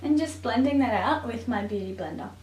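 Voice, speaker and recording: A woman with a raspy voice, a bit sick with a cough, presenting a makeup tutorial as a beauty vlogger. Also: fairly high pitch, animated, a little fast, darkish tone, faint music behind. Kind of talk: scripted makeup tutorial narration. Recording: roomy echo, distant microphone.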